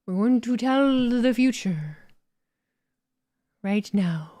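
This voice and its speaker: A woman's voice: on the high side, expressive, dark tone, dry recording, studio quality. A woman playing a theatrical mystic seer. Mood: portentous. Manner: slow, drawn-out, ominous pronouncements. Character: theatrical mystic seer reading a magic ball.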